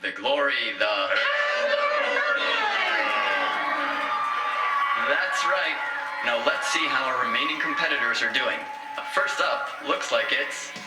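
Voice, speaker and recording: A man playing a wisecracking hacker co-host, excitable and sardonic, in a cyberpunk audio drama. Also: medium pitch, normal speed, roomy sound, loud music behind the voice, lightly acted, poor audio. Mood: excited. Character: wisecracking young male hacker turned game co-host.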